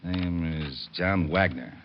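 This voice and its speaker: An actor playing a gruff, weary homicide lieutenant, matter-of-fact, in a vintage radio detective drama. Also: male voice, low-pitched, slow pace, slight background noise. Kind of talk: 1940s-50s radio detective drama acting. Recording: muffled old radio recording.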